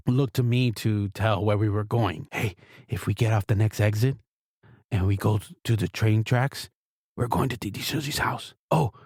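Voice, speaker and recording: A male host delivers a casual comic monologue about home life. He talks in a chatty, anecdotal way at an ordinary male pitch, slipping into short quotes as he goes. The recording is dry and close, free of room echo. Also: darkish tone. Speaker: podcast host.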